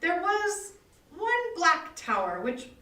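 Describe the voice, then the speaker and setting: A woman giving a dramatic reading of gothic horror prose with deliberate, melodramatic emphasis. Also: very high voice, big pitch swings, bright, forceful tone. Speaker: volunteer or library staff reader. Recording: echoey room, distant mic.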